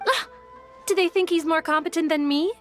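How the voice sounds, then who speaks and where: A woman's voice: very high voice, fairly even intonation, brisk, soft background music. An actress playing a haughty, sardonic young female detective in a video game, blunt, dismissive and full of grudge. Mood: indignant, scornful. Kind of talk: video game character dialogue.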